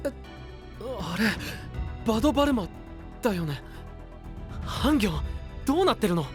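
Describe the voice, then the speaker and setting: An actor playing a perceptive, boyish young knight, casual and concerned, in an anime-style audio drama. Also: male voice, high voice, big pitch swings, moderate pace, music in the background. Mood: puzzled, alarmed.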